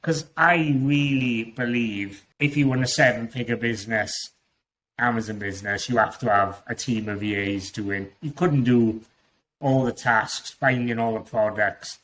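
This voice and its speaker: Man, strong welsh accent, podcast guest, chatty, rambling, mid-pitched voice. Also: fairly even intonation, unhurried, fairly bright tone, rough recording. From Wales. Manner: chatty, rambling, with frequent restarts and laughs. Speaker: guest Amazon seller. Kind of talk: casual podcast interview.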